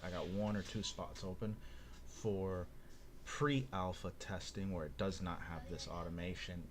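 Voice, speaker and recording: A man talking casually on a live coding stream as a software developer, thinking aloud as he demonstrates. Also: deep voice.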